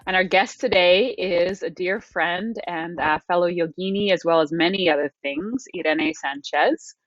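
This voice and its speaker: Low-voiced friendly woman podcast host. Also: moderate pace, no room sound, highs cut off. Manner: friendly and effusive. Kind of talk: casual podcast chat.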